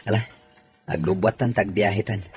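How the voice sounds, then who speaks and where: A man's voice: fairly low pitch, normal speed, faint music behind, natural voice. A man with an Ilocano accent acting a reserved, lonely young father in an audio drama. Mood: reserved, teasing.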